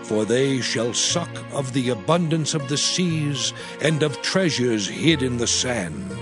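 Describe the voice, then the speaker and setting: A man playing Moses, a solemn patriarch, in a declamatory, measured dramatized scripture reading. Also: medium pitch, lively intonation, music in the background. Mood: solemn, exalted.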